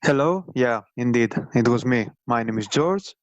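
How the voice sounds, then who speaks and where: A man's voice: mid-range voice, animated, normal speed, dry recording. Man over dull call audio. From Greece. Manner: hesitant with frequent "uh". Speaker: English teacher of refugees. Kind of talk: casual answer in Q&A.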